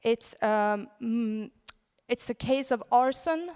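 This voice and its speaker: A woman with a Swedish accent presenting as a conference speaker, in a halting way, searching for words. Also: fairly high pitch, a little mellow, clean tone. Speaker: conference speaker, audio drama producer. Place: large indoor conference venue. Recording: reverberant conference hall.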